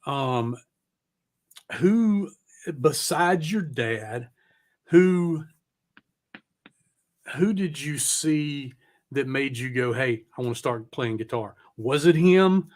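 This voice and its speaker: A man's voice: mid-range voice, animated, slow pace, pauses often. Casual, rambling middle-aged man hosting. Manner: informal, rambling, swears casually. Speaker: podcast host. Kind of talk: casual chat interview.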